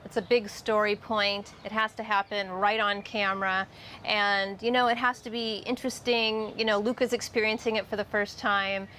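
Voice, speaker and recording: A woman's voice: mid-range voice, flat delivery, normal speed, pushed and bright. A woman giving casual, explanatory answers as an interviewee. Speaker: filmmaker being interviewed. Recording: noticeable background noise.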